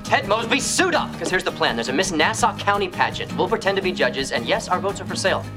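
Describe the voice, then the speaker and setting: A brash young American ladies' man in a sitcom. He is cocky and boastful, punching words with big swings of pitch over clear background music. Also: sing-song, fast. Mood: gleefully cocky. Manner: emphatic, cocky. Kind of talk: sitcom acting.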